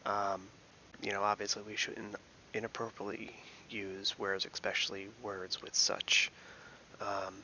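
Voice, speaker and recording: A man from Philadelphia hosting a casual commentary, reading out an online post and rambling through his thoughts with many fillers and soft whispered asides. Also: lowish voice, little pitch movement, measured pace, highs cut off, a little hiss or hum. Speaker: host.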